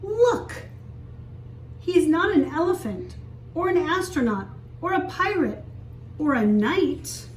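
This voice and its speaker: A woman reading a picture book aloud as a story time teacher, expressively, pausing to explain and ask young children simple questions. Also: high-pitched, lively intonation. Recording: echoey room, distant mic, faint hiss.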